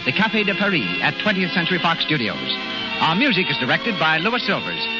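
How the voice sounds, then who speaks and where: A man's voice: a little high, wildly swooping pitch, fairly quick. A man announcing a 1930s radio show in a formal, showmanlike manner. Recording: dull vintage 1930s radio broadcast. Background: loud music.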